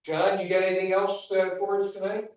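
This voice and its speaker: A man chairs a small town meeting, running through motions and the agenda in a brisk, procedural way. His voice sits a little high and comes from far off in a very echoey room. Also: completely flat delivery, darkish tone. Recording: echoey room, distant mic.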